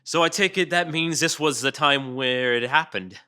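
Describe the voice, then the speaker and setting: An actor playing a wry, needling interrogator in an audio drama, dry, taunting and colloquial in manner. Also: male voice, a little high, normal speed, bright, forceful tone, smooth. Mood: disgusted, taunting. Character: wry, needling male interrogator, mock-friendly. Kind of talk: audio drama table read.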